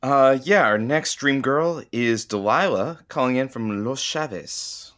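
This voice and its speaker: A deadpan radio producer in an audio drama. His voice sits at a middling pitch, and he plays the unfazed sidekick on a call-in show. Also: measured pace. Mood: dry, unfazed.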